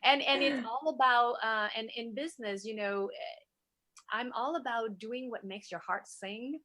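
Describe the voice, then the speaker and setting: A woman's voice: a little high, normal speed, pushed and bright, no echo. A middle-aged woman from Vietnam, a native Vietnamese speaker, talks effusively and enthusiastically as a guest in a conversational interview. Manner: effusive, enthusiastic, frequent rhetorical asides. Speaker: interview guest, wellness entrepreneur.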